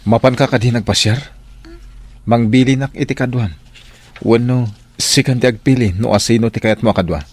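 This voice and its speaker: A man's voice: mid-range voice, moderate pace, pauses often, darkish tone, close to an everyday voice. A man playing a dutiful, firm restaurant employee in a radio drama, with a Filipino accent. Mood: firm, guarded.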